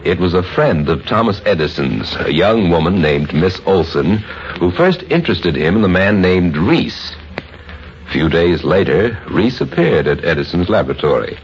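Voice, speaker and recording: A man's voice: low-pitched, big pitch swings, normal speed. A man narrating a scripted story in the style of old-time radio. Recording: dull old radio recording, faint music.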